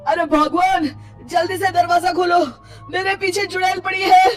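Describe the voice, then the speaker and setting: A woman's voice: very high-pitched, little pitch movement, normal speed, far from the mic, over loud music. A woman voicing a timid, frightened male village farmer in a cartoon story, blurting out fearful exclamations. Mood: panicked. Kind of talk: cartoon voice acting.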